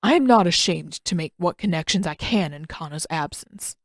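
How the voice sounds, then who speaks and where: A woman's voice: on the low side, expressive, no echo, pitched below the performer's own voice. Female reader as elderly male mentor for fantasy storybook: dignified, strict, formal, talks down to the young, very dry sound. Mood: stern, dignified. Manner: formal, measured, condescending. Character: stern, formal, proud old male waterbending master. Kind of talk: audiobook-style fan fiction character reading.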